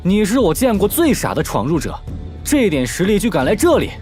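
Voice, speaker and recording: Animated series: a zealous shiba guard dog, taunting and declamatory, very animated intonation. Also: medium pitch, brisk, faint music behind. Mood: taunting, defiant.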